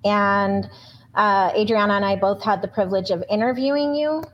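A woman's voice: medium pitch, fairly even intonation, moderate pace, muffled recording, slight background noise. A woman co-hosting a podcast who talks in an effusive, rambling way, often restarting her sentences. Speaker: podcast co-host. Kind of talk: podcast interview.

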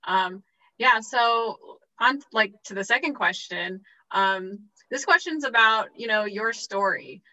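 A woman's voice: mid-range voice, slow, sharp tone, dull audio. A woman from Chicago asking interview questions in a chatty, rambling way, giggling often.

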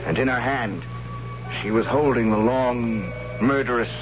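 An actor narrating as a young warrior sent into a forbidden forest, earnest and hesitant, in an old radio drama. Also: male voice, mid-range voice, measured pace, lightly acted. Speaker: narrator and lead character. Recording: lo-fi old radio broadcast with music.

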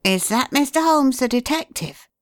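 A woman voicing an excitable teenage boy who gushes eagerly over his father. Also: sits high, normal speed, no room sound, clean studio sound, pitched above the performer's own voice. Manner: eager, breathless, gushing.

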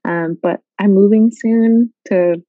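A woman's voice: medium pitch, fairly even intonation, slow pace, no echo. Chatty young woman, muffled sound. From Guatemala. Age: young adult. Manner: chatty, many fillers, laughs often. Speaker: interviewee. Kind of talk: casual interview.

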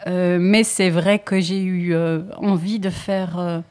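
A woman singer talks through her work in a relaxed interview. Her voice is low and mellow, and she speaks in a thoughtful, hesitant way, often pausing with small fillers as she looks for the right words. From Belgium. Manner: thoughtful, hesitant, many pauses and "euh".

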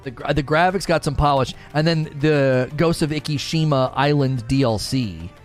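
A man's voice: mid-range voice, normal speed. A man talking casually as the host of a daily livestream gaming talk show. He rambles eagerly, repeats phrases and answers viewer chat, with a mid-range voice over faint background music. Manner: enthusiastic, rambling, repeats himself.

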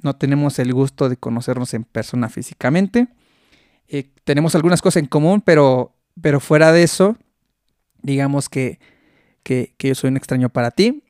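A man's voice: medium pitch, normal speed, darkish tone, no room sound, studio quality. Chatty Mexican man hosting a podcast. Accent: Mexican Spanish. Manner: chatty, laughs often, many restarts. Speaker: podcast host. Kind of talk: casual interview chat.

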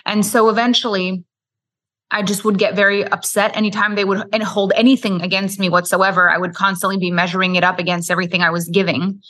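A woman's voice: lowish voice, bright, forceful tone. A woman from Quebec whose first language is French, a podcast guest telling her life story in a candid, flowing way. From Quebec, Canada. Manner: candid, flowing storytelling with frequent "like" and "you know". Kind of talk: storytelling interview.

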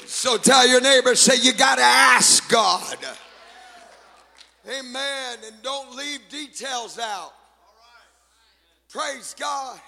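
A male pastor whose first language is Spanish, preaching with shouting, sing-song phrases and calls to the congregation. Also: very high-pitched, slow, creaky, long pauses, big-room sound, a faint crowd. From Latin America. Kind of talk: sermon. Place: church sanctuary.

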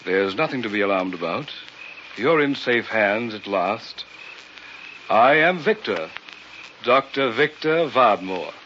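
An actor playing a sinister, soothing doctor in an old radio horror show, suave and deliberate. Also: male voice, fairly low pitch, animated. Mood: calmly menacing. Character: sinister, soothing doctor posing as a hypnotist professor. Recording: muffled, noisy old radio broadcast.

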